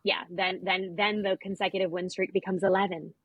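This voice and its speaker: Husky woman doing casual sports podcast chat, dry close sound, wry little asides. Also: mid-range voice, little pitch movement, a little fast, dry recording, narrow, tinny audio. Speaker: podcast co-host.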